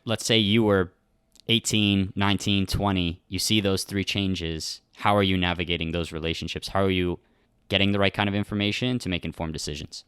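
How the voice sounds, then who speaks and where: A man's voice: deep voice, fairly even intonation, fairly quick, a bit bright, clean tone, no room sound, clean studio sound. A man putting questions as an interviewer in a conversational style.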